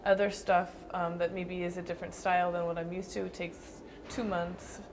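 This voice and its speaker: A young woman dancer answering interview questions thoughtfully, with frequent pauses and restarts. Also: on the low side, level tone, noisy background. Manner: thoughtful, hesitant with fillers and restarts.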